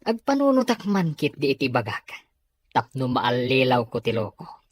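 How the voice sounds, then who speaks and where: Man acting a scheming, swooping husky Filipino villain. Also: medium pitch, big pitch swings, moderate pace, no echo. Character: scheming, hot-tempered man after the company money. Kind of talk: Ilocano radio drama acting.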